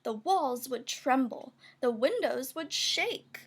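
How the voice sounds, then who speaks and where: A high-voiced woman reads a children's picture book in rhyme. She takes it slowly, with big swoops in pitch and playful, expressive emphasis on the sound words. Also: breathy edge. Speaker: storybook reader. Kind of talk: children's picture book read-aloud, rhyming verse.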